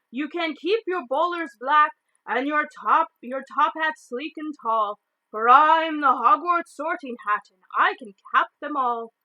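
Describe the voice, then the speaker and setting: Woman acting a boastful storybook hat, high-pitched, bright, theatrical, slow sing-song, declamatory. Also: poor audio. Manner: slow, declamatory sing-song verse, drawn-out loud calls. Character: singing magical old hat, proud, boastful and theatrical.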